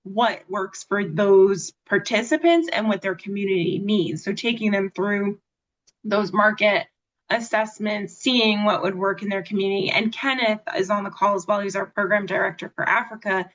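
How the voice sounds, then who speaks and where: A woman moderates a video call in an organized way, guiding the conversation. Her pitch sits in a middle range. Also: moderate pace, a bit bright, rough recording. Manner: organized, facilitating.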